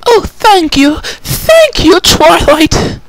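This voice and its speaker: Cartoon lady pony, female: genteel, theatrical, high and swooping in pitch. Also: sing-song, extremely slow, dry recording, heavily acted, poor audio. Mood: relieved, fragile. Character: refined ladylike female unicorn pony, secretly a changeling.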